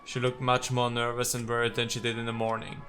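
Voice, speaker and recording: A man's voice: mid-range voice, monotone, fairly quick, a bit bright, a little hiss or hum. A man doing let's play commentary, reading game text aloud and reacting with sarcasm and exasperated swearing. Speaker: let's player.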